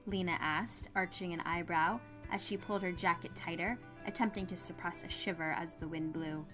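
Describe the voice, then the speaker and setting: A woman reading scripted fiction as an expressive audiobook narrator. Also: lowish voice, normal speed. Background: music.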